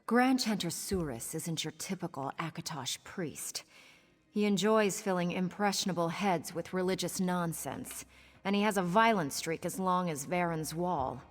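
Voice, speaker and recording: A woman's voice: fairly low pitch, normal speed, in a large hall, lightly acted. An actress playing a scheming, cynical pirate woman in a video game, with a sardonic, conspiratorial manner. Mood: wry, teasing. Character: scheming roguish female pirate, sly and cynical. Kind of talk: video game character dialogue.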